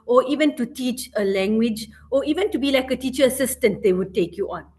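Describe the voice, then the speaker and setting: A woman's voice: fairly high pitch, a little fast, a little mellow. A South African woman, a teacher working abroad, speaking in a casual podcast interview, hesitant and full of ums and "you know".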